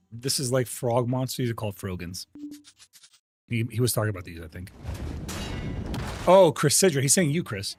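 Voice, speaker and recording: A man's voice: medium pitch, slight background noise. A man streaming an online game live, chatting casually and greeting viewers by name in a friendly way. He grew up speaking Arabic at home. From United States. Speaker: livestream gamer host.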